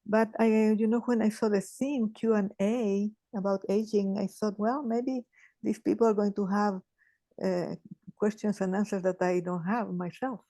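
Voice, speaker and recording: A woman, sharing in a group call in a halting, thoughtful way with many restarts. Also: medium pitch, fairly even intonation, mellow, no room sound. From Chile. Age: 80s. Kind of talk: casual sharing on a video call.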